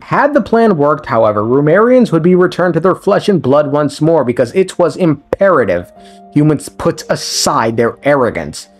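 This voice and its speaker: A man giving a casual, conversational recap of a video game story as a lore commentator, with slang and swearing. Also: a little high. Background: game music.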